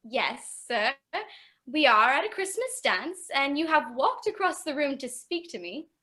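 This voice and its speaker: A woman's voice: sits high, sing-song, a little slow, pushed and bright, exaggerated voice. A woman playing a playful, forward young woman with a teasing manner in an amateur radio play.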